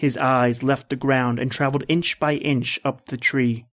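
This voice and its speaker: An actor playing a tense, determined hunted man, narrating his ordeal gravely and deliberately as in an old-time radio drama. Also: medium pitch, flat delivery, fairly bright tone, natural voice. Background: background music.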